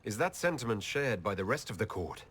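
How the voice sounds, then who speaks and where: A man's voice: lowish voice, big pitch swings, brisk, a bit bright, husky, full character voice. A man playing an earnest, composed elf leader in a video game, direct and probing. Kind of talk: video game cutscene acting.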